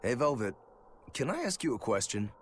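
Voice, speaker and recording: A man's voice: mid-range voice, big pitch swings, normal speed, slight background noise, natural voice. An actor playing an easygoing, teasing male demon swordsman who likes his drink, in a video game. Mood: curious. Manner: wry, teasing, laid-back. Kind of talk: English video game voice acting.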